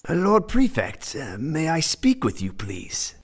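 A man's voice: fairly high pitch, wildly swooping pitch, measured pace, slightly rough, heavily acted. A man playing a deferential, nervous councilman in an amateur radio drama, speaking hesitantly and politely. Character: deferential, nervous councilman petitioning a Roman governor.

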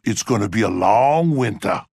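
A man's voice: on the low side, animated, normal speed, creaky, darkish tone, husky, no echo, studio quality, exaggerated voice. An actor playing a cocky young male daredevil, teasing his rivals with exuberant glee in a video game. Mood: gleeful mocking. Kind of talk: video game taunt lines.

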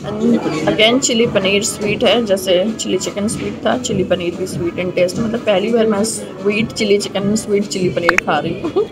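A woman's voice: medium pitch, steady tone, normal speed, poor audio. A young adult woman with an Indian accent chatting casually as she eats and reviews food in a restaurant. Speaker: food vlogger. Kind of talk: casual chat while eating. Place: indoor restaurant. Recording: loud music over restaurant talk.

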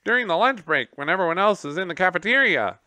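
Man voicing a squeaky male gerbil, excitable, prattling, high-pitched, loud and quick. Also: no echo, exaggerated voice. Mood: informative. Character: small excitable male gerbil, chattering captive.

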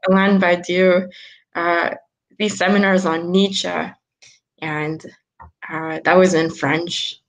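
Slow-talking woman in her twenties. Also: lowish voice, flat delivery, poor audio. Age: 20s. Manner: unhurried, with frequent ums and soft restarts. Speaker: guest, philosopher. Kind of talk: casual video-call interview. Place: small room at home.

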